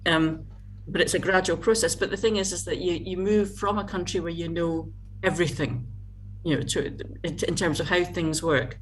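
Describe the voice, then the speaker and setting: A middle-aged Scottish woman from Scotland, a guest in a casual video-call interview, talking haltingly with many fillers and restarts. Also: fairly low pitch, a little hiss or hum.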